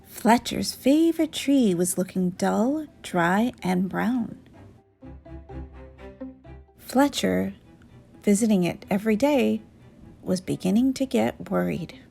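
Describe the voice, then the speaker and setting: A woman reading a children's story aloud in an expressive storyteller's style, with music behind her. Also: medium pitch, takes it slowly, vocal fry, long pauses.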